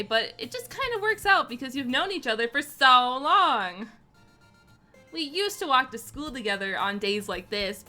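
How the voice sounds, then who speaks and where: A woman's voice: high-pitched, sharp tone, exaggerated voice. A woman playing a sardonic, grumbling teenage schoolboy who narrates an anime visual novel with dramatic flair.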